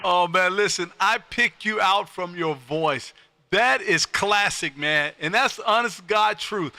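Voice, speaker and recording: An American man works the ham radio as a live stream host, with a very sharp, punchy voice. He is loud and folksy, repeating his phrases. The sound is close and dry. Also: high voice, slow pace, dry recording.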